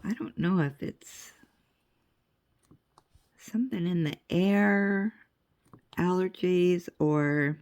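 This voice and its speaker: A woman's voice: fairly low pitch, very slow, pauses often, darkish tone. An older woman with a stuffy nose, chatting casually and rambling in a crafting vlog, laughing often. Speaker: crafting vlogger.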